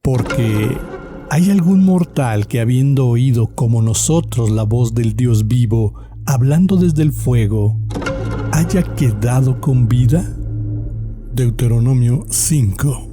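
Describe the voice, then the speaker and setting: An actor playing a grave preacher who reads scripture solemnly and deliberately in an audio drama. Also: male voice, medium pitch, animated, music in the background. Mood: solemn, foreboding. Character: solemn male preacher reading apocalyptic scripture.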